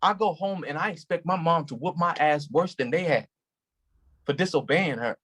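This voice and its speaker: An actor reading the part of a calm male police officer who consoles a grieving woman and tells a childhood story in a measured way. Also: fairly high pitch, slightly rough. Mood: reflective. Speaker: actor in a staged play reading. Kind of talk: staged play reading.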